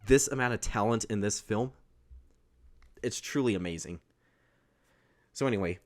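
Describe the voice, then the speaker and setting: A young man with a baritone voice casually recapping a film, rambling and correcting himself as he goes. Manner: rambling, self-correcting, with restarts. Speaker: host.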